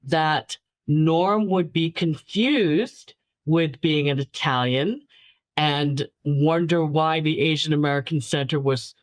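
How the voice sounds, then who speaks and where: A woman's voice: deep voice, dry recording. A woman from New York City whose first language was Chinese, telling stories in an interview and repeating words for emphasis. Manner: warm storyteller, repeats words for emphasis, laughs lightly. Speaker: guest filmmaker. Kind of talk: casual interview talk.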